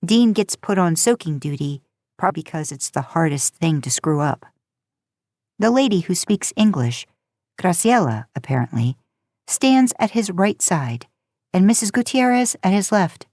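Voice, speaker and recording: Low-pitched woman narrating a story, dry sound. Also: very animated, moderate pace, darkish tone, no echo. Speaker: podcast host narrating fan fiction.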